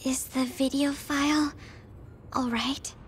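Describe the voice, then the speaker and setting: A woman's voice: high-pitched, fairly even intonation, slow pace, a little hiss or hum. A woman playing a caring, watchful teenage girl soldier in an anime game, earnest and concerned. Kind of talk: English-dubbed anime game acting. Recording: voice over loud music score.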